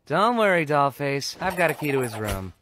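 A man voicing a smug, teasing cartoon rabbit in an animated series, with a sarcastic, flippant drawl. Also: on the high side, moderate pace, a little hiss or hum. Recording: music behind the dialogue.

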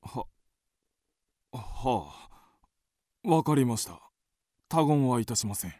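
An actor playing an excitable father in a radio drama, with an animated, theatrical manner. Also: medium pitch, slow, no room sound, studio quality. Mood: eager. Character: excitable, playful father of a household.

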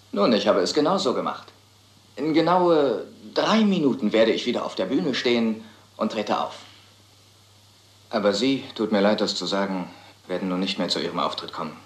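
Cold-blooded male villain: lowish voice, smug, mockingly polite, crime radio drama, echoey room. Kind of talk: German crime radio play acting.

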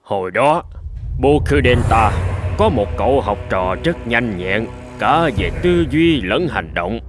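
A man voicing a stern, wise old sword master who lectures his students in a measured, fatherly way in a cartoon. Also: medium pitch, big pitch swings, over music. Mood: calm storytelling. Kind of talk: scripted Vietnamese cartoon dub, telling a parable.

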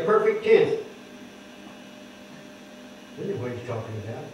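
An older man preaching at a lectern, explaining scripture word by word and stressing key words loudly. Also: sits high, distant mic, slight background noise. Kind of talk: sermon teaching scripture. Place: wood-paneled church hall, speaking at a lectern. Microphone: microphone on the lectern, heard from a distant camera. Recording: echoey hall heard from afar, dull.

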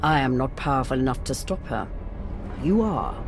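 Casting: commanding dark empress, composed and formal, expressive pitch. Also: female voice. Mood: grave, urgent. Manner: measured, regal, formal. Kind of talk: dramatic game acting. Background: music.

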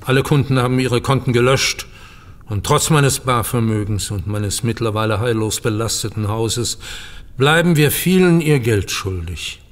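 Audio drama role: dignified, embittered older male banker played by a man, formal and cutting, medium pitch, long reverb. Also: natural voice. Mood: resigned. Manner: formal, measured, biting sarcasm. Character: bitter, dignified older bank co-founder facing ruin. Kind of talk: German audio drama acting.

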